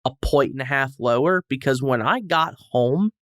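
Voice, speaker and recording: Male podcast guest, casual comedic chat, self-deprecating, rambling, highly varied intonation, dry recording. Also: animated, moderate pace, fairly bright tone, dry recording.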